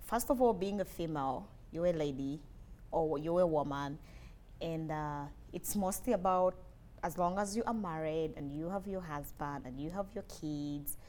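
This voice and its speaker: Low-voiced Ugandan woman, 20s, earnest, soft interview guest; faint background noise. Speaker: interview guest, lab technologist. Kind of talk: casual interview conversation.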